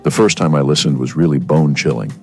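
A man's voice: extremely low pitch, fast talker, warm tone, soft background music. A male radio co-host with a stuffy cold, presenting a scripted segment in a wry, dramatic way.

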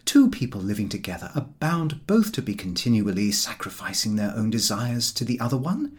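A man voicing a frank, brisk Edwardian wife, fond yet weary of her husband, in audiobook dialogue. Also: lowish voice, sing-song, husky. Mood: longing, exasperated.

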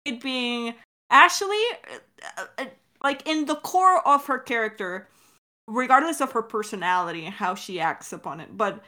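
A woman with a fairly high, bright and forceful voice talks casually about creative work. She is effusive but halting, circling toward her point and swearing freely along the way. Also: expressive. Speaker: podcast creator answering questions. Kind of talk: casual behind-the-scenes discussion.

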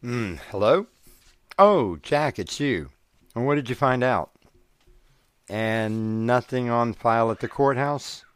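Smug lawyer fiancé, mid-pitched, very lively intonation, teasing, self-assured, audio drama. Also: male voice, slow pace, long pauses, clean tone. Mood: businesslike. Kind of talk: scripted audio drama acting.

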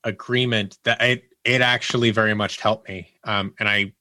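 Man, medium pitch, bright forceful voice, casual candid storyteller, podcast guest, dry sound. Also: steady tone, moderate pace, dry recording. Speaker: podcast guest, audio producer. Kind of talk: casual personal anecdotes in conversation.

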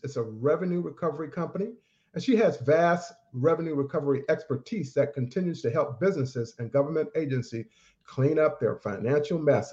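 A man's voice: fairly high pitch, warm tone. Upbeat man hosting a podcast. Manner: upbeat, formal, full of praise. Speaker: podcast host. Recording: dull, lo-fi sound.

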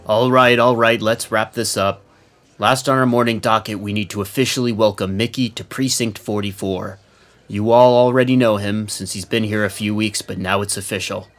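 A man's voice: on the low side, a bit bright. A man playing a brusque, wisecracking veteran police sergeant in a noir audio drama, slangy and no-nonsense. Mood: businesslike.

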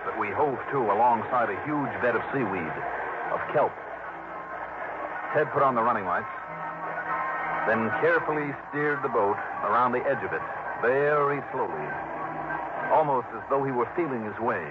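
An actor playing a brisk, probing American private investigator in a vintage radio drama, clipped and urgent. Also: male voice, medium pitch, animated, natural voice. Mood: grave narration. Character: brisk, probing male private investigator narrating his case. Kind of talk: 1950s-60s American radio drama acting. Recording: muffled vintage radio broadcast with loud music.

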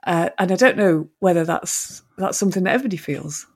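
A woman from Manchester hosting a podcast, talking gently and hesitantly with frequent ums. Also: lowish voice, fast, husky. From Manchester, England. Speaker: podcast host. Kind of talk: casual chat.

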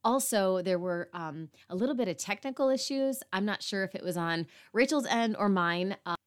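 A woman hosting a parenting podcast, slightly hoarse, talking earnestly with fillers. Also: medium pitch, lively intonation, moderate pace. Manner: earnest, apologetic asides with fillers. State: slightly hoarse after illness. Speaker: podcast host. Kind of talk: podcast intro and interview.

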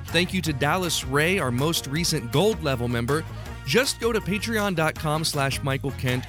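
Male podcast host over music. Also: fairly high pitch. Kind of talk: scripted podcast narration, conversational. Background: music under the voice.

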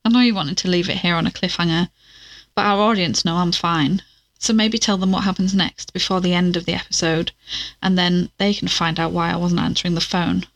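A breezy, teasing podcast companion voiced by a woman for an audio drama. Her slightly low voice has a marked creak and a dry sound. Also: steady tone, a little fast, no room sound, lightly acted, low-quality recording.

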